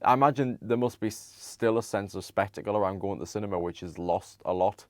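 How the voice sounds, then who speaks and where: A young man hosting a podcast, asking long, halting questions full of "um"s and restarts. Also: fairly low pitch, no echo. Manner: halting, many "um"s and stammered restarts. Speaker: podcast host. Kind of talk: casual interview conversation.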